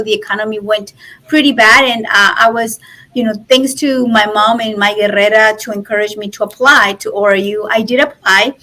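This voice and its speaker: A woman's voice: on the high side, a bit bright, rough recording. A woman in her forties from Mexico, a native Spanish speaker with a Mexican accent, chattily telling her personal story as an interview guest. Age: 40s. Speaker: podcast guest, nonprofit president and business founder.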